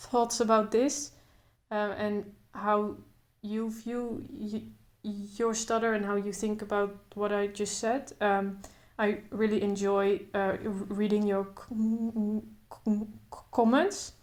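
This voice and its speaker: A young woman talks to camera in a slow, level voice, with little rise and fall in her pitch. She stutters openly, repeating first sounds and pausing in blocks, and keeps going in short, thoughtful phrases. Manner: thoughtful, calm, speaks in short phrases with pauses. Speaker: vlogger. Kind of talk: casual talk to camera.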